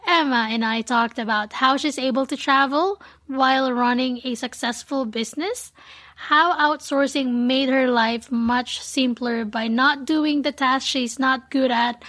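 A woman's voice: high-pitched, fairly bright tone. A woman from the Philippines, speaking as a podcast host introducing and interviewing a guest.

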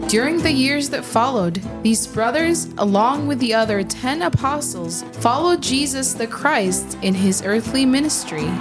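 A woman's voice: high voice, lively intonation, unhurried, few pauses, over loud music. A woman narrating a scripted religious story in a storytelling style.

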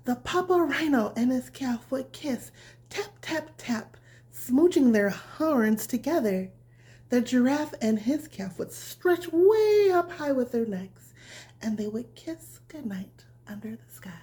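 A woman reading a picture book aloud as a bedtime storyteller, speaking in a nurturing, simple way as if to a small child, with a breathy voice that often drops toward a whisper. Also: sits high, lively intonation, slow, mellow.

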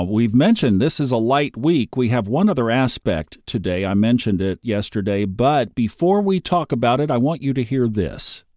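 A man's voice: fairly low pitch, darkish tone, no echo, clean studio sound. A man in his fifties hosting a daily astrology podcast, chatty and upbeat, laughing easily. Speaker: podcast host.

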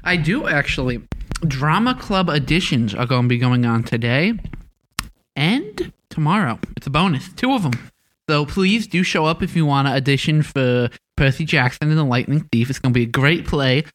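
A male co-host with a playful, boastful streak. His voice sits slightly high and swings up and down a lot. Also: expressive, normal speed, a bit bright. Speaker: student podcast co-host. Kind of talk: casual chat.

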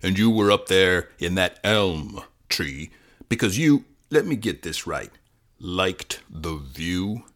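Man playing a low, probing police interrogator. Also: lively intonation, fairly bright tone, heavily acted. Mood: skeptical. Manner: slow, skeptical, leading.

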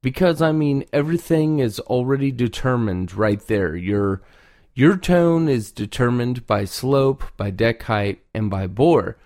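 A man's voice: mid-range voice, unhurried, darkish tone, no echo, studio quality. A man giving informal, off-the-cuff instruction as a hands-on craftsman, often repeating words for emphasis. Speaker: duck call maker giving advice.

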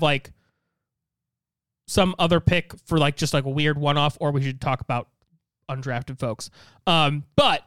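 A high, smooth-voiced American man, recorded close and dry. He chats casually as a podcast co-host, working out his thoughts aloud in a halting, joking way. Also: fairly bright tone, no echo. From St. Louis area, United States. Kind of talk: casual comedic banter.

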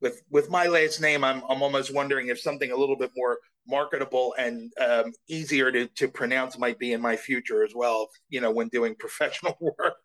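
Man, voice actor guest, soft-spoken, halting, many fillers, casual interview. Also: mid-range voice, steady tone, low-quality recording.

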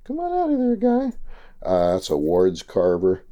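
A man's voice: sits low, wildly swooping pitch, a little slow, warm tone. A man, a dentist and collector, talking unhurriedly at home about his old machines, with hesitant fillers. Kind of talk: casual explaining.

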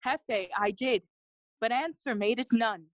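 A woman's voice: a little high, a little slow, dry recording, close to an everyday voice. A woman playing a loyal, earnest young friend of a prince in a bilingual Shakespearean audio play, speaking formally. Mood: grave, awed. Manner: earnest, formal, clearly declaimed.

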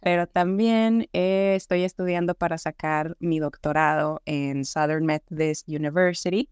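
A woman's voice: on the low side, steady tone, unhurried, a little mellow, smooth, dry recording, clean studio sound. A young woman from the Juárez border region, a podcast guest talking in a friendly, earnest way with many fillers. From Mexico, Juárez border region. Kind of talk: podcast interview.

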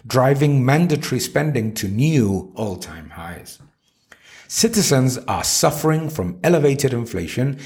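A man narrating a written article in a scripted, emphatic and deliberate reading style. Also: mid-range voice, a little mellow.

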